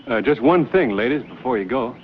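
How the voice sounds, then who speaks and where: An actor playing an easygoing, wry frontier adventurer who talks in a halting drawl full of hesitations. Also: male voice, mid-range voice, expressive, close to an everyday voice. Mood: helpful. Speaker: hero and first-person narrator. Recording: dull old TV soundtrack, faint hiss.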